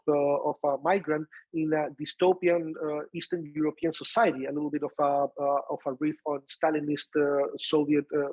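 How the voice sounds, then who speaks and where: A man with a Chilean accent giving an academic seminar talk over a video call, often pausing on "uh" and restarting phrases. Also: a little high, breathy edge, dry recording. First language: Spanish. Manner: frequent "uh" fillers and restarts. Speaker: professor presenting research. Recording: dull lo-fi video call audio.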